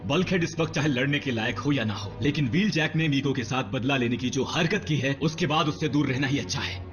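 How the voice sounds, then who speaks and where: A man voicing a cautious, grumbling robot medic in an animated series dub, with a sarcastic, wary manner. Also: mid-range voice, quick pace, dull audio, soft background music, natural voice.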